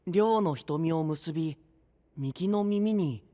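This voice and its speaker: A man playing a cheerful, easygoing young guide to sea legends in an anime-style audio drama, explaining in a breezy, drawn-out way. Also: fairly high pitch, warm tone. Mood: animated, explaining.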